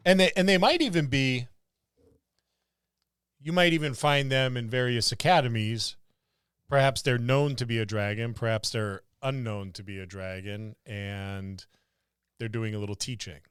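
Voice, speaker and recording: A man's voice: mid-range voice, normal speed, fairly bright tone, clear, resonant tone, dry recording, clean studio sound. A man co-hosting a podcast, musing aloud in a casual discussion about tabletop gaming. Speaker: podcast co-host.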